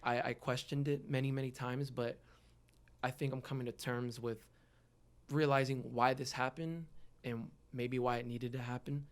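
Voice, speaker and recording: A man's voice: mid-range voice, fairly even intonation, normal speed. Young man, early twenties, earnest and reflective interview guest, conversational delivery. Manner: earnest, reflective, frequent "you know". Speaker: guest, rapper. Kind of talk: casual podcast interview, personal reflection.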